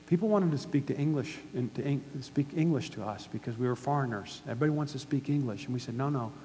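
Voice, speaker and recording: A man's voice: medium pitch, mellow. Emphatic preacher man in a reverberant hall. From United States. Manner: emphatic, asks the audience questions. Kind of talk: sermon. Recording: hall reverb with faint hum.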